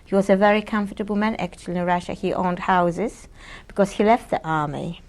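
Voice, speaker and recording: A Polish-born woman in her sixties giving oral history testimony in an interview, often pausing and searching for words. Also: mid-range voice, fairly even intonation, a little mellow. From Poland. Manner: hesitant, searching for words with many ums and restarts. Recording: 1980s audio cassette interview.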